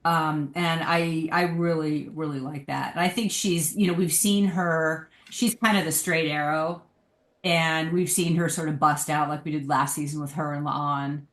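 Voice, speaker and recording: Lower-pitched woman chatting in an echoey room. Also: steady tone, normal speed. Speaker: podcast panel guest. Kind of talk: casual chat, discussion.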